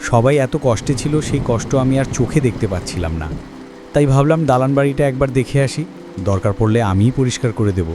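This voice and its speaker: A man's voice: medium pitch, expressive, quick pace, pauses often, over music, natural voice. A man playing a scheming, blustering estate steward in a melodramatic cartoon, stammering and repeating words when flustered. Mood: flustered, evasive. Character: scheming, blustering male estate steward who hoards grain. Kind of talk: melodramatic cartoon villain acting.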